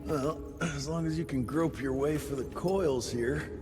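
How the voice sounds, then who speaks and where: A man voicing a gruff, sarcastic frontier field agent in a video game cutscene. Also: on the high side, a little slow, lightly acted. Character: gruff male frontier monster hunter, blunt. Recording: game cutscene with loud music behind.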